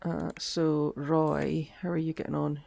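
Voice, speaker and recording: Gravelly woman with a cough. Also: deep voice, flat delivery, a little slow, dry recording. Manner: chatty, thinks aloud, frequent ums and soft asides. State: voice cutting out from a lingering cough. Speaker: game streamer. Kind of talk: casual gameplay commentary.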